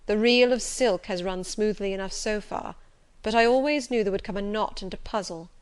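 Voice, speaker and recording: A woman voicing a passionate, stormy middle-aged gentleman in an audiobook, speaking loudly and urgently. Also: medium pitch, moderate pace, clean tone, close to an everyday voice. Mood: vexed. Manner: loud and impassioned, urgent. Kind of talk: audiobook reading.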